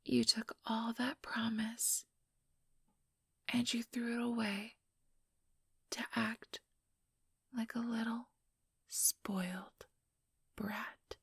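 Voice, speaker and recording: A woman's voice: on the high side, little pitch movement, extremely slow, pauses often, dry recording, exaggerated voice. A woman playing an ambitious, coldly menacing female vampire in a whispered ASMR role-play, talking in a taunting, mock-sweet way close to the microphone. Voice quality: soft, breathy whisper. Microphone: close, dry microphone.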